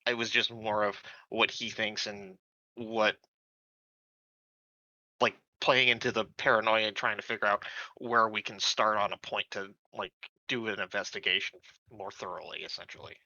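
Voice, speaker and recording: A man playing a tabletop roleplaying game, talking casually in a tentative, halting way. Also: on the low side, steady tone, pushed and bright, no echo, dull audio. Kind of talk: casual chat.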